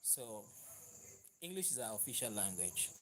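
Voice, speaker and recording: A man's voice: lowish voice, very animated, moderate pace, a little hiss or hum. A Nigerian man whose first language is Yoruba, hosting a live stream in a casual, conversational way and reading out viewer comments. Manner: conversational, warm thanks to viewers, laughs easily. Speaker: livestream host.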